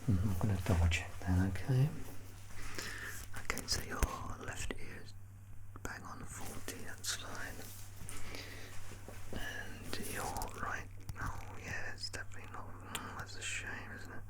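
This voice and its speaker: An ASMR performer speaking in a soft, unhurried murmur that slips into breathy whispers, reassuring and gently playful in a personal-attention roleplay. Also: low-pitched, poor audio. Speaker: ASMR performer playing a measurer.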